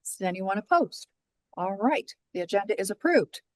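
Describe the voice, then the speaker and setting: A woman chairing a formal board meeting over a video call, speaking in a measured, procedural way. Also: medium pitch, expressive, no echo.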